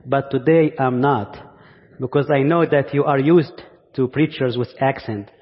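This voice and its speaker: A man from Egypt with an Egyptian accent preaches a sermon to a congregation as a guest, deliberately, pausing and repeating phrases for emphasis. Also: medium pitch, steady tone. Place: large indoor church space. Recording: muffled, reverberant church hall sound.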